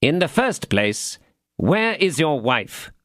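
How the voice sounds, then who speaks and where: A man's voice: fairly high pitch, very animated, fairly bright tone, no echo, studio quality, voice pushed up, exaggerated voice. A man voicing a stern, officious police commissary interrogating a prisoner. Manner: interrogating, officious, slow and emphatic.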